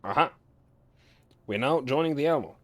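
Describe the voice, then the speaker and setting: A man livestreaming as host, reading game story aloud with casual asides and stumbling now and then, his voice slightly raspy. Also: mid-range voice, expressive, a bit bright. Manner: halting, often stumbles and corrects words while reading.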